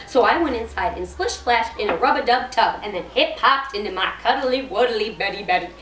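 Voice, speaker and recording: A woman's voice: on the high side, fairly bright tone. A woman voicing a nervous, earnest little schoolgirl in a storybook, timidly recounting things. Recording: noisy echoey room, far from mic.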